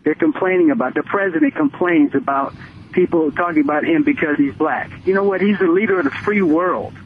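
An older American man calls in on a thin, tinny phone line with slight noise. His voice sits somewhat high. He makes his case emphatically, circling back to repeat each point. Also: brisk. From Connecticut, United States. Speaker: radio phone-in caller. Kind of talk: opinionated call-in talk. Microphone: telephone.

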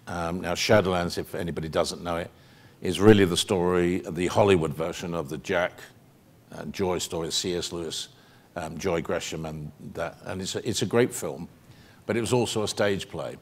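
Older man, British accent, low-pitched voice, chatty anecdotal storytelling, theater stage Q&A. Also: moderate pace. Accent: British English. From England. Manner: chatty, anecdotal, with frequent ums and restarts. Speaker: actor answering audience questions. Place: theater stage, seated in armchair.

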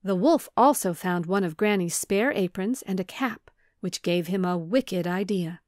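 A woman's voice: medium pitch, animated, a little slow, darkish tone, no echo, clean studio sound. A woman in her thirties, a children's storyteller, narrating a scripted tale expressively.

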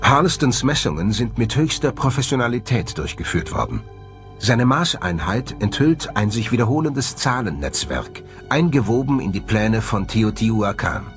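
A man's voice: mid-range voice, sing-song, moderate pace. A man presenting a documentary with scripted narration. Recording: music bed under the voice. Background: background music.

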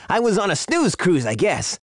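A man's voice: a little high, very animated, slow, husky, dry recording, full character voice. A man voicing a cocky, breezy young hedgehog hero in a video game, with a brash, slangy way of talking. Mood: casual. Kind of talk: video game cutscene acting.

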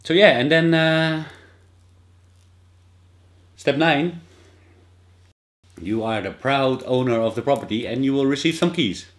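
A man's voice: medium pitch, animated, unhurried, long pauses. A man in his thirties with a Dutch accent, explaining steps in a casual vlog with many fillers and restarts, recorded indoors in a small office by a desk camera. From Netherlands. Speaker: vlogger.

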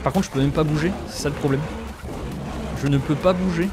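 A young French man giving excitable let's play commentary, often exclaiming and talking straight to his viewers. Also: a little high, a little fast, over music. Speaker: gaming YouTuber.

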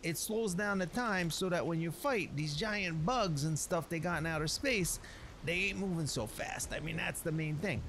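Lively streetwise man, slightly high and gravelly. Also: a little hiss or hum. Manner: streetwise, colloquial, folksy wiseguy talk. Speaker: gameplay narrator playing a storyteller character. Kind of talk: in-character rambling game commentary.

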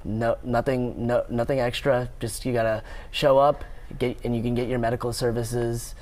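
A man's voice: medium pitch, little pitch movement, normal speed, darkish tone. A young man, a pre-med student, speaking earnestly in a TV studio interview on a lapel mic. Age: young adult. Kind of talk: live TV interview. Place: TV news studio.

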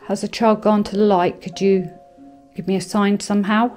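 A woman in her thirties vlogging a ghost hunt in a dark bedroom of an abandoned farmhouse, calling out to spirits and then speaking low and shaken to the camera, recorded on a close handheld camera. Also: flat delivery, normal speed, faint music behind. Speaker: paranormal investigator. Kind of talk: ghost-hunting vlog narration.

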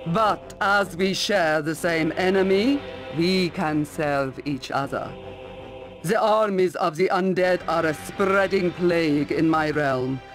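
A woman's voice: on the low side, slow, soft background music. A woman playing a regal, composed empress with a formal, stately manner in a fantasy video game. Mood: cool, grave. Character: regal, composed female ruler of icy realm.

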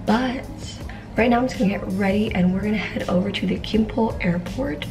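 A young woman talking casually to her camera in a vlog, a little hoarse, with lots of fillers like "like" and "y'alls". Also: medium pitch, brisk, recorded from a distance, music in the background. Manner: chatty, says "like" and "y'alls" often. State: slightly hoarse, losing her voice. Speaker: vlogger. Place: apartment living room, indoors.

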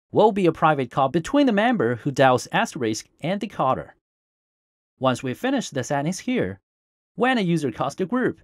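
A man presents a scripted tech tutorial in a fairly high voice with very animated, swinging intonation. The recording is close and dry, like a studio voice-over. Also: dry recording. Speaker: tutorial host. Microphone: close voice-over microphone, dry.

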